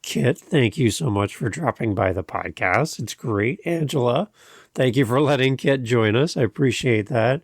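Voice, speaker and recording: Effusive male podcast host, gravelly creak. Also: mid-range voice, expressive, moderate pace, no echo, clean studio sound. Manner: effusive, emphatic. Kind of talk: conversational interview hosting.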